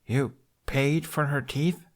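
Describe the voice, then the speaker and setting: A man's voice: medium pitch, fairly even intonation, takes it slowly, voice pushed up, natural voice. A man voicing an earnest, anxious teenage boy with a flustered, incredulous manner in a storybook reading. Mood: bewildered. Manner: stammering, incredulous.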